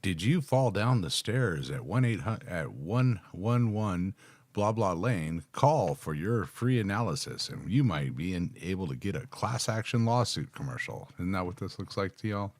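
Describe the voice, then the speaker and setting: A man's voice: deep voice, lively intonation, normal speed, no room sound. A middle-aged American man reading text aloud and adding casual asides as he hosts a live reaction. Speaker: reaction video host. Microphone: close microphone on a boom arm, headphones on.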